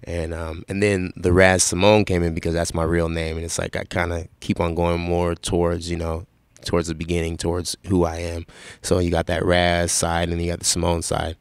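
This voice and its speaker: A young man with a raspy, very deep voice tells his story at an easy, rambling pace, full of pauses and fillers. His delivery stays flat and even, and the sound is dry and close, with no room around it. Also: dry recording. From Seattle. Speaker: rapper guest. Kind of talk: casual interview answers.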